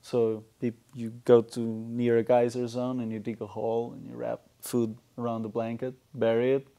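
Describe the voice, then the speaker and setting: Male guest, Portuguese accent, thirties, gravelly and mellow, in conversational interview. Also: mid-range voice, level tone, moderate pace. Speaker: interview guest, software tech lead. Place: small indoor talk show studio.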